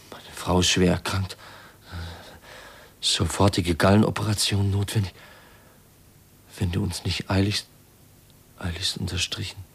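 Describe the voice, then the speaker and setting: An actor playing a troubled, earnest young man who speaks in halting, intense phrases in a 1950s German radio drama. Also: gravelly, full character voice. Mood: puzzled, shaken. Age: young adult. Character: troubled, earnest young male outsider in a mystery. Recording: faintly noisy, rumbly 1950s radio recording.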